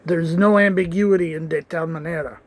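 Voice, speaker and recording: Male vlogger, high-pitched, earnest and rambling, casual to-camera chat. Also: fairly even intonation, a little fast. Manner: earnest, rambling, with restarts and asides.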